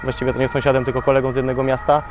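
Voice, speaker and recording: Flat-voiced coach interviewed by the pitch. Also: male voice, background noise. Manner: hesitant, many fillers, measured. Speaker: youth football coach. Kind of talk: post-match interview. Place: outdoors beside a football pitch.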